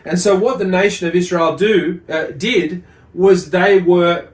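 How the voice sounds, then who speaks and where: A man giving an explanatory religious lesson as a teacher, speaking in a measured way with deliberate emphasis and repetition. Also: high-pitched, fairly even intonation. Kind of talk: explanatory religious lesson, reading and commenting on a text. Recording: echoey, roomy sound.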